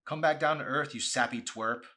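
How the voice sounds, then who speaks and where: A man's voice: mid-range voice, normal speed, sharp tone, pitched above the performer's own voice, close to an everyday voice. Man voicing a snarky, scornful teenage cashier girl. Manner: angry, quipping, contemptuous.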